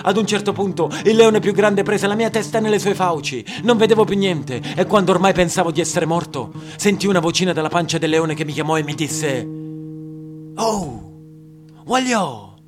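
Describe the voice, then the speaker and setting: A man's voice: high voice, a little fast, few pauses, music in the background. A young man narrating a theatrical monologue, emphatic and dramatic, playing himself as a pleading young actor.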